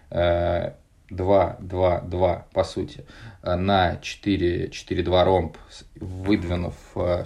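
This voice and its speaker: A man's voice: deep voice, gravelly, darkish tone. A man hosting a football podcast, talking casually through match analysis with frequent hesitations. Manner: hesitant, many "э" fillers. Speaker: podcast host.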